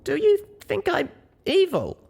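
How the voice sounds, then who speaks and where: A man's voice: very high voice, huge pitch swings, a little slow, gravelly, darkish tone, voice pushed up, exaggerated voice. A man voicing an anxious young female pony heroine in an audiobook story, asking things earnestly and uneasily.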